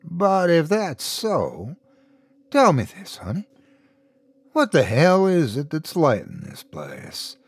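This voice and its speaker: A man's voice: a little high, huge pitch swings, slow, husky, dry recording, pitched above the performer's own voice, heavily acted. A man voicing a possessed, taunting young man with a mockingly sweet Louisiana drawl in a horror story. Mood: sly taunting.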